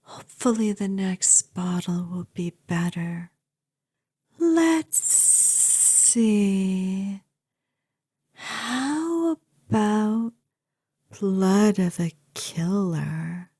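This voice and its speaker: A woman playing an obsessive, possessive vampire girlfriend in an ASMR roleplay, speaking softly and intimately, often in a whisper. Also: mid-range voice, expressive, exaggerated voice. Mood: bored, musing. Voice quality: soft, breathy, often whispering. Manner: slow, intimate, casually morbid, doting. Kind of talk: ASMR audio roleplay addressed to the listener.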